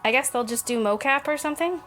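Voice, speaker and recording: A woman's voice: high voice, fast, a bit bright, soft background music. A woman playing a reluctant, sardonic grown daughter in an audio drama, talking in a dry, grudging way. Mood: amused, dry. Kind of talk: naturalistic audio drama acting.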